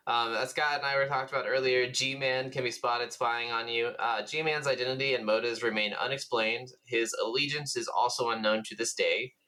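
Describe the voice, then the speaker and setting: A man's voice: mid-range voice, sharp tone, low-quality recording. A man co-hosting a podcast in a casual gaming chat, speaking informally with some mild swearing. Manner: informal, mildly profane. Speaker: podcast co-host.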